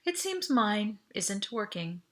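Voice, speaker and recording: A woman's voice: medium pitch, sing-song, takes it slowly. A woman voicing a worried, protective teenage boy in an audiobook story, earnest and reassuring as he hides his fear. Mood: careful calm. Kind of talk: audiobook character voice.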